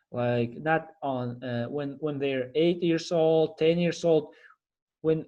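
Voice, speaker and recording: A young man with a Kazakh accent speaks in a fairly high male voice. He hosts a casual podcast interview, halting and searching for his words as he goes. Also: a little mellow. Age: young adult. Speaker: podcast host.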